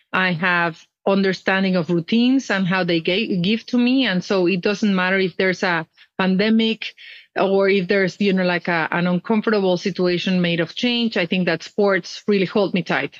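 A woman's voice: mid-range voice, normal speed, no room sound, lo-fi sound. A middle-aged woman from Mexico, telling her story earnestly and expressively as an interview guest. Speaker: guest, nonprofit founder. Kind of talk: conversational interview.

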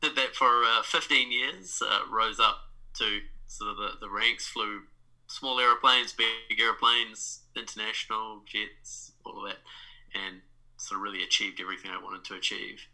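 Bright-voiced New Zealand man, reflective. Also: on the low side, fairly even intonation, moderate pace, rough recording. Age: 30s. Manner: reflective, many fillers and restarts. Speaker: guest, life coach. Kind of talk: casual podcast interview.

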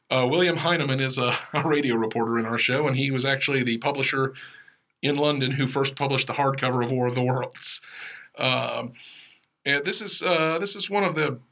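A man's voice: mid-range voice, bright, forceful tone. An American man co-hosting a podcast, explaining things casually with wry digressions. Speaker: podcast co-host and writer. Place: podcast studio.

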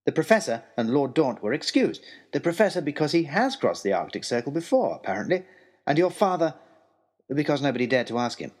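An actor playing an eager, long-suffering young gentleman adventurer, reading his letters aloud in an earnest, wry, genteel way in a comic period audio drama. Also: on the high side, brisk. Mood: amused, excited. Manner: expressive, earnest, wryly self-deprecating, genteel.

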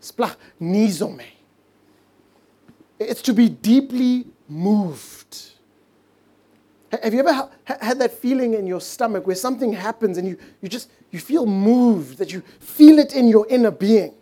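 A mellow, warm-toned man preaching a heartfelt sermon. He stretches out important words and sinks into soft, hushed moments for emphasis. English is a later-learned language for him. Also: sits high, expressive. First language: not English. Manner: earnest, stretches key words slowly, drops to a hush for emphasis. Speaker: pastor.

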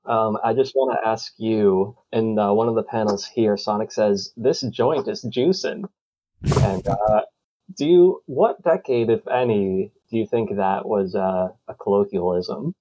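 A man's voice: fairly low pitch, a little slow, mellow, muffled recording. A man co-hosting a casual podcast, wry and profane in his comments. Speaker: podcast co-host. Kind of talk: casual chat.

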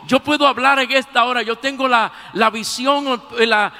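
A pastor in his sixties with a Puerto Rican accent, preaching a sermon. He keeps slipping a praise phrase between sentences and moves between shouted peaks and soft, low passages. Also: steady tone, normal speed. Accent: Puerto Rican Spanish. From Puerto Rico. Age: 60s. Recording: long hall reverb.

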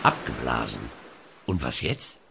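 A male actor playing a grumpy, sardonic, bossy heavenly designer in a radio comedy, with a gravelly voice. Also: extremely low pitch, very animated, measured pace, bright, forceful tone, a little hiss or hum, heavily acted. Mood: grumbling. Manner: sardonic, grumbling.

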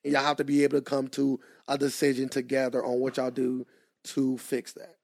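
A man, co-hosting a casual podcast, animated and quick to laugh as he makes his points. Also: mid-range voice, gravelly, no echo, studio quality. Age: 20s. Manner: animated, laughs often, drawn-out emphatic points. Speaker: podcast co-host.

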